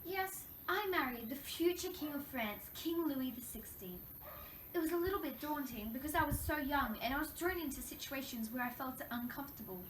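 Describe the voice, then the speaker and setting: A woman's voice: sits high, lively intonation, moderate pace. A woman playing a wronged young French queen in a scripted interview role play, recounting her troubles in a formal, recited way. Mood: earnest, reflective. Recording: echoey room, distant mic, faint noise.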